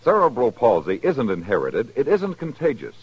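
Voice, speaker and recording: A man's voice: medium pitch, huge pitch swings, moderate pace, slightly rough. A man reading a scripted old radio charity appeal in an earnest, emphatic announcer's manner. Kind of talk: 1950s radio public service announcement. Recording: dull, lo-fi vintage radio recording.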